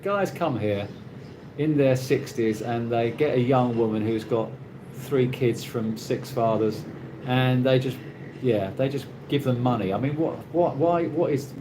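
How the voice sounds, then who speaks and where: A British man talks casually on a live stream, his voice rising and falling in a lively way. He rambles and restarts his sentences often. Steady noise from the surroundings runs under his voice. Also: medium pitch. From Britain. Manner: rambling, halting, with many restarts. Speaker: livestream host reading viewer comments. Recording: noisy ambient background.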